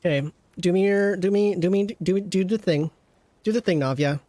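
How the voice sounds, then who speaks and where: A streamer giving casual, rambling game commentary, teasing and chatting with viewers, in a middle-pitched voice. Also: normal speed, mellow, dry recording.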